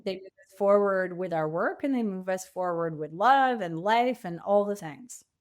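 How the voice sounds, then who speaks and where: A woman speaking conversationally as a podcast guest and coach, telling stories in an animated way with bits of quoted dialogue. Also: medium pitch, moderate pace. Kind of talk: conversational interview.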